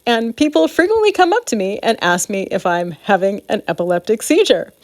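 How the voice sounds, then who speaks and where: A woman's voice: mid-range voice, sing-song, fairly quick, darkish tone, no echo. Earnest woman, closely recorded, dry sound. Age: adult. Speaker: speaker presenting own ideas. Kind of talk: informal monologue, advocacy talk.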